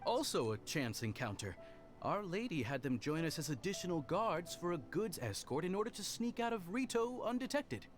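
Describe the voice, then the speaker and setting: A man's voice: fairly high pitch, sing-song, moderate pace. An actor playing an earnest, restrained young male retainer with a formal manner in an anime video game. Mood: matter-of-fact. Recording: music behind the voice.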